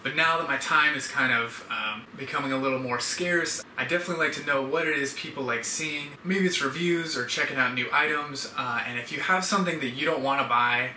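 A man's voice: mid-range voice, fairly quick, hardly any pauses, pushed and bright. A young man hosting a casual vlog, talking to camera in a rambling, conversational way. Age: young adult. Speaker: YouTube channel host. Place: indoor room at home, standing. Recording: echoey room, distant mic, background hiss.

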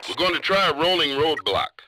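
Game police officer, intense and clipped, very forceful, lively pitch, over radio. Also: male voice, fairly quick, slight background noise, low-quality recording. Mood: urgent. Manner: clipped, urgent. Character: intense, urgent male highway patrol officer. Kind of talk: video game radio chatter.

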